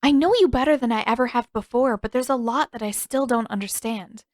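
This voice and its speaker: A woman's voice: a little high, fairly quick, dry recording, clean studio sound, voice pushed up, natural voice. A woman voicing a perceptive teenage girl who talks in a candid, lilting, playful way. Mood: thoughtful.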